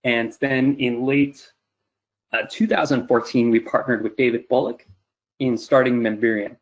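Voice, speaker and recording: A man's voice: mid-range voice, moderate pace. A man in his thirties giving an explanatory webinar presentation in an informal way. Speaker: presenter, company CEO. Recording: dull lo-fi webinar audio.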